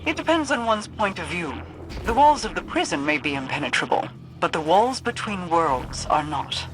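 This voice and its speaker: A woman's voice: lowish voice, very animated, normal speed, background noise. An actress playing a solemn, commanding mystic witch who speaks formally and portentously in a fantasy video game. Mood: cryptic. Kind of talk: cinematic game voice acting. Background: loud music.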